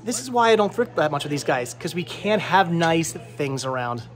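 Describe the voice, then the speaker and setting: A man's voice: a little high, very animated, normal speed, soft background music. A man in his thirties hosting a casual shopping vlog with a jokey, teasing manner, talking into a handheld camera in a small shop. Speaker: vlog host, movie collector. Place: indoors, small cluttered movie and game shop. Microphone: handheld camera at arm's length.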